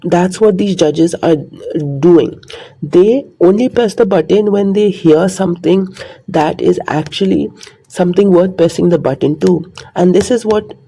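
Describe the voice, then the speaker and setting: A man's voice: high voice, a little slow, mellow, husky, low-quality recording. A man talking in a rambling, earnest monologue to his viewers, repeating his points. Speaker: vlogger. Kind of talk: casual monologue to camera.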